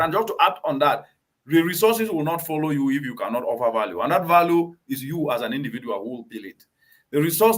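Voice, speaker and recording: A man from Cameroon hosting a conversation, making his points with emphatic repetition. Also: fairly high pitch. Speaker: host. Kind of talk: conversational interview.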